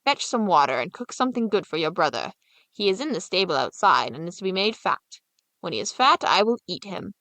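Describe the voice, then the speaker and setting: A woman's voice: on the low side, very animated, a little fast, sharp tone, no room sound, exaggerated voice. A wicked old witch, as a woman reader puts her on. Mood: mocking, commanding. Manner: mocking, commanding. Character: wicked old female witch, cruel, greedy.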